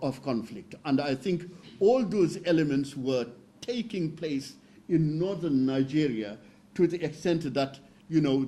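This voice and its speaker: A man from northern Senegal speaking as a panelist at a roundtable, explaining slowly and carefully with fillers and restarts. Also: mid-range voice, dark tone. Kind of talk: roundtable talk.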